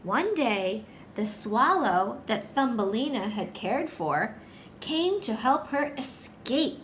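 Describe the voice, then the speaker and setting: A woman with a high-pitched voice tells a story to young children as their teacher. She goes slowly, phrasing things simply and putting questions to the listeners. There is faint noise behind her. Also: sing-song. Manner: simple, deliberate phrasing aimed at small children.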